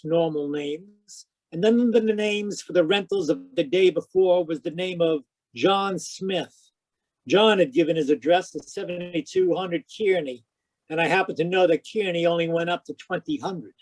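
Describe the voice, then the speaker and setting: A man plays a hard-boiled private eye in a vintage-style radio mystery. His voice sits fairly high, and he is wry, persistent and matter-of-fact. The sound is very dry and close. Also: a little mellow, dry recording, lightly acted, low-quality recording. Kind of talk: old-time radio detective narration and dialogue. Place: close dry sound, remote live performance.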